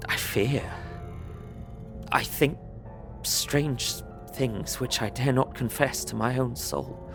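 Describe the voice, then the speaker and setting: An earnest young Englishman reading out his diary in an audio drama. The voice is mid-pitched with a creaky edge, over clear music. His phrasing is formal, measured and uneasy. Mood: fearful, troubled.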